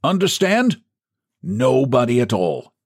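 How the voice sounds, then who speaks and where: A man's voice: mid-range voice, wildly swooping pitch, slow, vocal fry, pitched above the performer's own voice, exaggerated voice. A man voicing a stout, hearty middle-aged businessman with a jovial, boastful manner. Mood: curt.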